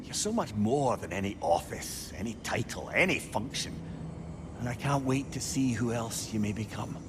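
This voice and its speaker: An actor with a Scottish accent playing an earnest, eloquent, lovelorn sage in a video game. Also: male voice, medium pitch, lively intonation, normal speed, noisy background, close to an everyday voice. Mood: earnest, wistful. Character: eloquent, tender, lovelorn male sage, former scoundrel. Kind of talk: dramatic video game dialogue. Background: music.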